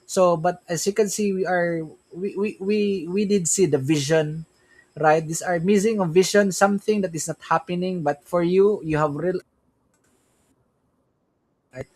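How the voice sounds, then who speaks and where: A man's voice: high-pitched, measured pace, darkish tone. A young man with a Filipino accent, a Tagalog speaker, hosting a casual crypto livestream, rambling with many restarts and tag questions. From Philippines. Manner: rambling, repeats "right?" and "yeah, man", frequent restarts. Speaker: crypto stream host.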